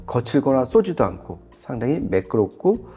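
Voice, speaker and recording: A man's voice: medium pitch, wildly swooping pitch, moderate pace, music in the background. A man reviewing audio products in conversational commentary, explaining things with casual, emphatic phrasing.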